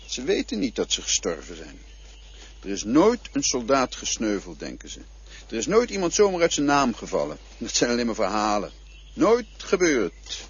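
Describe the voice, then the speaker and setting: Cryptic male guide of the dead, played by a man, husky, lecturing, animated phrasing, muffled old recording. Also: medium pitch, moderate pace, full character voice. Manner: patient, lecturing, quietly mocking. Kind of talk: radio play acting. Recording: dull lo-fi old radio recording.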